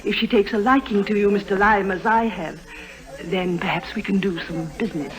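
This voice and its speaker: An actress playing a genteel, confiding titled lady in an old radio drama, speaking politely and haltingly. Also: medium pitch, natural voice, poor audio. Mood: hesitant, confiding. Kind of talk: 1950s radio drama acting.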